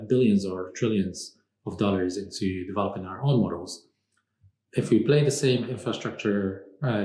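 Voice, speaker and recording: A low-voiced man originally from Morocco answers interview questions in a measured, thoughtful way, pausing often with "uh". The recording has a roomy echo around him. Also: a little mellow. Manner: measured, thoughtful, frequent "uh" pauses. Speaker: podcast guest, strategy consultant. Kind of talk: conversational interview.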